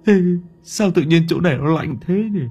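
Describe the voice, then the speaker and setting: A man's voice: sits low, animated, normal speed, a little mellow, slightly rough, faint music behind. A man voicing a cowardly chubby young man who stammers and whines. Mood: frightened. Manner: stammering, whining.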